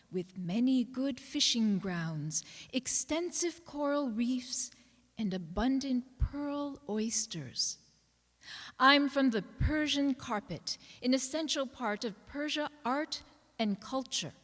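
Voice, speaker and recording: A woman giving a dramatic literary reading aloud in measured, deliberate phrases. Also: on the high side. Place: large indoor hall at a live reading. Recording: echoey hall with long reverb.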